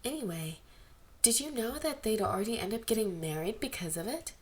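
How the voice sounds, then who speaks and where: A woman voicing an insistent, skeptical young actress in a fan fiction reading, with brisk, questioning delivery. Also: fairly high pitch, big pitch swings. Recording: amateur home recording with background noise.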